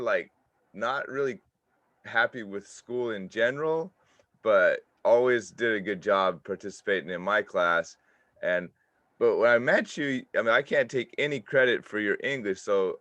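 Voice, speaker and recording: A man's voice: deep voice, a bit bright, dry recording, highs cut off. A man hosting a podcast interview, chatting casually with many fillers and restarts. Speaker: podcast host. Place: home, in Japan.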